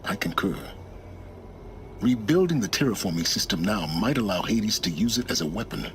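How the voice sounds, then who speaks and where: Deep-voiced, grave learned guide. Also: male voice, expressive, slight background noise. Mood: grave, explaining. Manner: formal, measured, lecturing. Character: grave learned man guiding a young woman remotely. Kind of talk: video game dramatic acting. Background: music.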